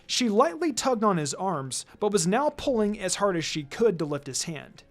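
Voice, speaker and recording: Lively high-voiced man narrating a story. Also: moderate pace. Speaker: narrator reading fan fiction. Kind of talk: dramatic scripted story narration. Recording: music behind the voice.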